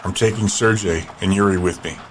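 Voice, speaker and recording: A steady town leader, played by a man in an audio drama, firm and decisive in a lowish voice. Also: brisk, slight background noise, close to an everyday voice.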